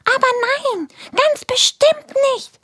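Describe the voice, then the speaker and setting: Woman doing a squeaky tiny fart creature. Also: expressive, takes it slowly, bright, forceful tone, no room sound, studio quality, heavily acted. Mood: indignant. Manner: indignant, bouncy. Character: tiny cheeky fart creature, male, excitable.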